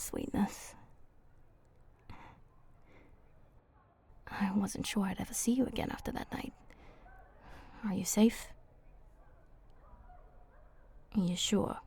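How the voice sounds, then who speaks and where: A flirty female vampire, played by a woman in an intimate ASMR roleplay. Her voice is soft and mellow, often slipping into a whisper, with a husky creak at the edges. She teases haltingly, as if speaking to one person very close by. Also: medium pitch, fairly even intonation. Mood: tender, relieved.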